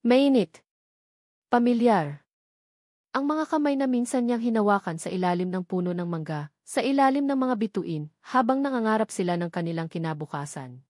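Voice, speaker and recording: A Filipino woman tells a scripted romantic story with an emotive, dramatic delivery. Her voice sits at a middling pitch for a woman. She leans on short, emphatic phrases, and the sound is dry, with hardly any room to it. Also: animated, moderate pace, darkish tone, no room sound. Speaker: story narrator.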